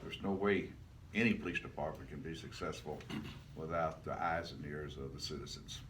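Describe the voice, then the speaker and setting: A man in his seventies with an extremely low voice shares memories in a casual interview. He restarts and repeats words as he goes. He sounds set back from the microphone, with faint noise behind him. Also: normal speed, a bit bright. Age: 70s. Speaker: retired police officer, guest. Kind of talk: casual reminiscing interview talk. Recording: distant mic, faint background noise.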